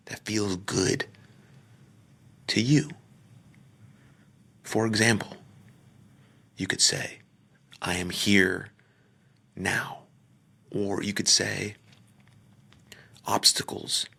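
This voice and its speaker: A man leading a guided meditation, speaking gently in short phrases with pauses and sometimes trailing off into a near-whisper. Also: lowish voice, vocal fry, slightly rough. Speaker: podcaster. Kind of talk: guided meditation, soft slow instruction.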